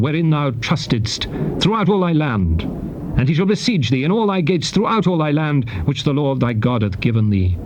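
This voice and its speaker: A grave prophet warning his people, formal bible drama, measured delivery, noisy old cassette. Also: fairly high pitch. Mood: stern warning. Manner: grave, declamatory, measured oratory. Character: Moses, solemn male prophet warning his people of curses. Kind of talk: dramatized scripture reading, formal archaic English.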